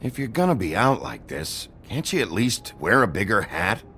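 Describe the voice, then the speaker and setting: A very deep, gravelly sheriff from a video game. He is stern and weary, speaking in blunt, terse lines that still swing in pitch. A faint rumble sits behind him. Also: very animated, moderate pace, fairly bright tone. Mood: firm, questioning. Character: gruff, stern, weary male sheriff of fairy-tale folk.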